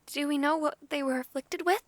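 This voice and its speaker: Woman playing a high-voiced, gentle young dragon noblewoman. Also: a little fast, no echo, close to an everyday voice. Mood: eager. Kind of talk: fantasy audio drama acting.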